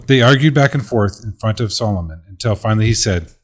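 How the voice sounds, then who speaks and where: A man acting as a father and narrator, reading a Bible story aloud to his sons in gentle, earnest tones. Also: fairly low pitch, animated, quick pace, slightly rough. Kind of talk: reading a Bible story in a home-made audio drama.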